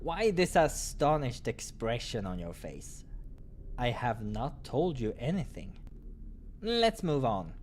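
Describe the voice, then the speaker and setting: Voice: medium pitch, big pitch swings, slow pace, darkish tone. A performer voicing a stern, curt and condescending senior animal character in a visual novel game. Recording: clear background noise.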